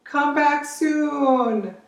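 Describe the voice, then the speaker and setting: Drag queen doing high-pitched, friendly storybook unicorn. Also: very slow, far from the mic, heavily acted. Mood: excited, welcoming. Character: friendly, excitable storybook unicorns greeting a newcomer. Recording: roomy, echoey, away from the mic.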